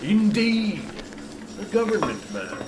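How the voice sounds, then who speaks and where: A man playing a gruff, scornful veteran coal mine foreman in a comic radio play, with a folksy, menacing delivery. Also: high voice, huge pitch swings, slow, heavily acted. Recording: roomy, noisy mine ambience.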